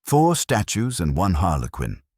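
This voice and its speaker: A man plays a young private detective in a mystery audiobook. The voice is extremely low and the delivery brisk and wry, full of dry wisecracks. The recording is close and dry. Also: huge pitch swings, a little mellow, husky, dry recording, exaggerated voice.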